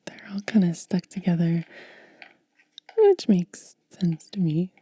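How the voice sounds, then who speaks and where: A woman whispering softly and gently in an unhurried ASMR style, close to the microphone, pausing between short phrases. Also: lowish voice, very animated. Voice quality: breathy, mostly unvoiced whisper. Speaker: ASMR host. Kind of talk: ASMR unboxing, hushed and soft-spoken.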